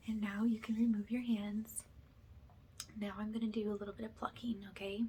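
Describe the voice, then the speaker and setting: A woman speaking softly and breathily, often slipping into a whisper, as she gives slow, soothing, halting guidance in an ASMR energy healing style. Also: medium pitch, little pitch movement, a little hiss or hum.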